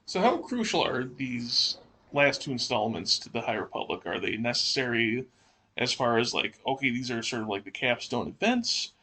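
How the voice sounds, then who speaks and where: Podcast cohost guy, sharp voice w lots of fry, kinda halting, thinks out loud. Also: mid-range voice, lively intonation, a little hiss or hum. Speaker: podcast co-host. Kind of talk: conversational podcast discussion, asking questions.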